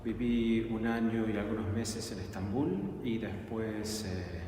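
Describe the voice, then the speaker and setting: A man from Argentina, a Franciscan friar giving a thoughtful personal testimony, pausing often and correcting himself. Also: on the low side. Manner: thoughtful, hesitant, many filler pauses and self-corrections. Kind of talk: personal testimony answering a question. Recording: echoey hall, distant mic, faint noise.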